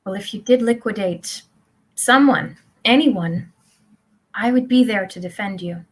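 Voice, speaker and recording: A woman's voice: medium pitch, a little slow, breathy edge. A woman playing a blithely supportive middle-aged mother in a live play reading, placid and reassuring.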